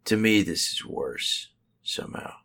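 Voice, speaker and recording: A man in his early twenties with a raspy, low voice, talking in a subdued, hushed, tired way in a casual chat. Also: lively intonation, a little slow. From Texas. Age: 20s. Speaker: podcast co-host.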